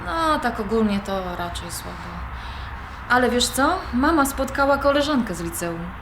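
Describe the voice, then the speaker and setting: A woman's voice: on the high side, expressive, normal speed. A young woman playing an independent-minded granddaughter, conversational and questioning, in audio drama dialogue. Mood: chatty. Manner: conversational, questioning, mildly protesting. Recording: roomy recording with background noise.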